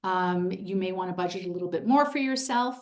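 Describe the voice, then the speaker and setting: A woman's voice: lowish voice, a little fast, a little mellow. Woman hosting an info session.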